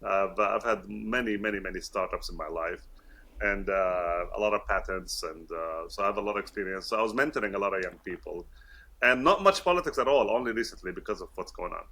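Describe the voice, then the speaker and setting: A man's voice: deep voice, fast, sharp tone. A man in his fifties, raised in Kuwait, with British-schooled English, chatting as an interview guest in a friendly, laughing way with many fillers. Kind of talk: casual interview talk.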